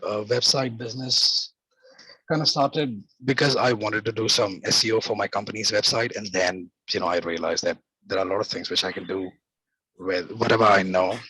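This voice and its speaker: A man's voice: lowish voice, fairly even intonation, moderate pace, bright, forceful tone, slightly rough, no echo, poor audio. A man from India introducing his business on a video call, hesitant, with many fillers and restarts. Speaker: webinar participant, company owner.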